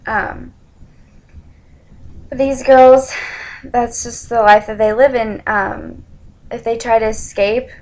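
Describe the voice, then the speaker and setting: Young woman presenter, flat even intonation. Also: a little high, slow, pauses often. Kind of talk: informal spoken presentation.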